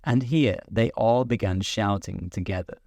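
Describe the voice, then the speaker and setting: Soothing bedtime narrator, male, gravelly, unhurried. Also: on the low side, dry recording. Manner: slow, soothing and expressive. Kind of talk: calm bedtime story reading.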